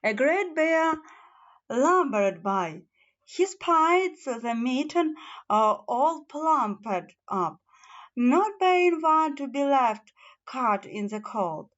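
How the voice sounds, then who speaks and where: A woman with a fairly high, lilting voice narrates a children's storybook in a slightly dull, lo-fi recording. Her reading is unhurried and a little halting, with a very varied melody. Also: very animated, a bit bright. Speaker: storybook reader. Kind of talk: children's fairy tale narration.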